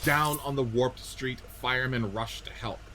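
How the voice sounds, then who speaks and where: A man's voice: medium pitch, fairly even intonation, unhurried, pushed and bright, a little hiss or hum. A man reading scripted narration and stage directions for a radio play.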